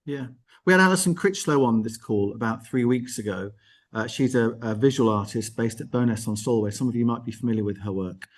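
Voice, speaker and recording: A man's voice: medium pitch, fairly quick. A man hosting a casual live arts call, talking in a chatty, discursive way. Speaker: host of an arts network online call. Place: on location at an art gallery, live video call.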